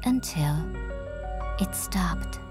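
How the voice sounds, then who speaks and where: Slow, solemn woman narrating over music. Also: lowish voice, expressive. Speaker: documentary narrator. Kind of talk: scripted documentary narration. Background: new-age music.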